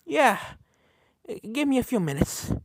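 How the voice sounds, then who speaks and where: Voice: sits high, very animated, moderate pace, dry recording, studio quality, voice pushed up, heavily acted. A narrator voicing a plucky, worn-out young cartoon filly in a story reading, with music behind. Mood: weary.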